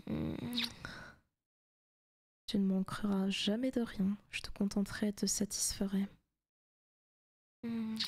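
Slow, whispery demon girlfriend, acted by a woman. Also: mid-range voice. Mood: possessive, tender. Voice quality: soft, breathy, often whispered. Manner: slow, intimate, teasing. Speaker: ASMR roleplay performer. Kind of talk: whispery ASMR roleplay.

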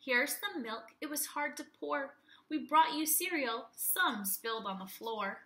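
A young woman reading a children's picture book aloud like a teacher, in an expressive sing-song way. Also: high voice, a little slow, a little hiss or hum. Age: young adult.

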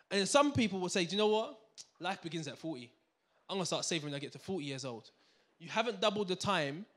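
A man gives a seminar talk on a handheld mic in a community hall. He speaks fast in a slightly high voice, animated and keen to draw in the crowd. He keeps checking in with "right? yeah?" as he goes. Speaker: finance speaker. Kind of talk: lively seminar talk to an audience. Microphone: handheld microphone.

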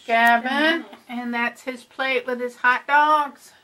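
A woman's voice: sits high, fairly even intonation, sharp tone. A middle-aged woman narrating a casual home video of a family dinner, listing the food with many fillers, on a handheld camera. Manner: chatty, slow, lists food with fillers. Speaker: vlogger filming family dinner. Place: family kitchen and dining room indoors.

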